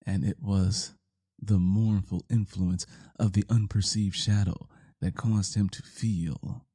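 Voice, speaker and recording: Man, theatrical horror narration, very slow, dark mellow tone, deliberate pauses, music bed. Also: lowish voice, slightly rough. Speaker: narrator performing a horror story. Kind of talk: dramatic scripted narration in the first person.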